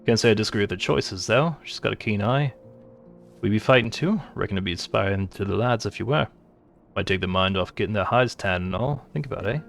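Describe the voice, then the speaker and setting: Rough, folksy fantasy soldier voiced by a man. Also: fairly low pitch, fairly quick, slight background noise. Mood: folksy, wry. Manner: colloquial, dropped grammar, blunt.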